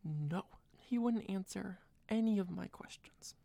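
A young woman doing a soft, close-mic whispered girlfriend roleplay, rambling and doting, a little tipsy. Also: medium pitch, measured pace, creaky, no echo. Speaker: ASMR roleplayer.

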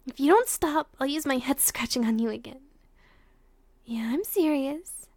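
A woman acting a soft, high-voiced, tender girlfriend. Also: animated, normal speed, dry recording. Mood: teasing. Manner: soft, breathy, often whispered, affectionate. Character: tender, emotional young girlfriend comforting her boyfriend. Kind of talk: intimate roleplay audio drama, addressed to the listener.

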